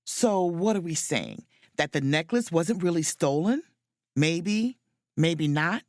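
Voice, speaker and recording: Actress doing a nosy space officer lady on holiday, low voice, super expressive, audio drama, dry close sound. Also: slow, no room sound. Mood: brisk, speculative. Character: woman space officer on holiday, keen amateur sleuth. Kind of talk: romcom audio drama dialogue and first-person narration.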